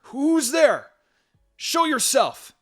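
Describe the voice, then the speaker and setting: A man voicing a panicky, defiant male traitor ninja who shouts and stammers in a story reading. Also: extremely high pitch, very animated, extremely slow, faint music behind, voice pushed up, heavily acted. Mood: terrified, defiant.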